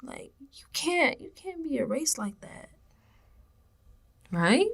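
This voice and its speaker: Actress doing young american woman in an audio drama, streetwise n uneasy, slangy confiding talk, highish voice, really up and down. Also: sing-song, takes it slowly, creaky, exaggerated voice. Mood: resigned, baffled. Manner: colloquial, sassy, emphatic, dropping into whispers.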